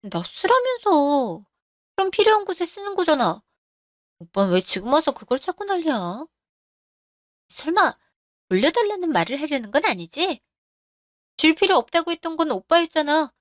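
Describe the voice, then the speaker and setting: A high-pitched, sharp-tongued younger sister who snaps back defensively, as a woman voices her. Her tone is selfish and prying, set close and dry for a storytelling radio drama. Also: very animated, normal speed, long pauses, no room sound.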